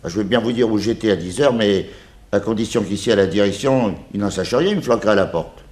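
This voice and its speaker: An actor playing a jovial, defensive horse-cab driver with folksy, blustering speech in a 1960s French radio serial. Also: male voice, fairly low pitch, a little fast, darkish tone, natural voice. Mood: grudging frankness. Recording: roomy old radio recording, faint noise.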